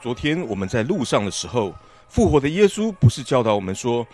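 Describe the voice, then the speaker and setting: A man acting a friendly, earnest villager in a radio Bible play. He has a mid-pitched voice that swings widely, hearty and theatrical, over faint music. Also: very animated, brisk, a little mellow. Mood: earnest, excited.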